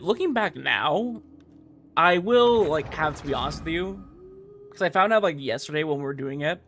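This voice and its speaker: A young man livestreaming a game, chatting casually in a rambling, halting way with animated emphasis. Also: a little high, vocal fry. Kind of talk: casual livestream chat over gameplay.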